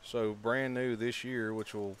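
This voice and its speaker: A man's voice: mid-range voice, little pitch movement, a little slow, a little hiss or hum. Storytelling man hosting. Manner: storytelling, mimics others. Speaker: podcast host. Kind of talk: casual podcast banter. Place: large sporting goods store, indoors.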